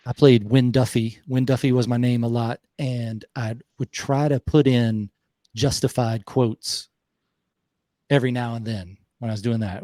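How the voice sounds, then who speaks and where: A man with a Southern US accent hosting a podcast, talking reflectively and unhurriedly, with pauses. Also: medium pitch, mellow, dry recording. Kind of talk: casual conversation.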